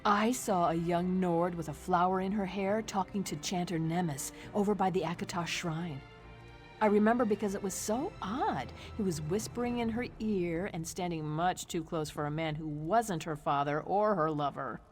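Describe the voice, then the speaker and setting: An actress playing a nosy, insinuating town gossip in a fantasy game, coy and conspiratorial. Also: female voice, on the low side, normal speed, soft background music, natural voice. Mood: curious, conspiratorial. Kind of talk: game dialogue acting.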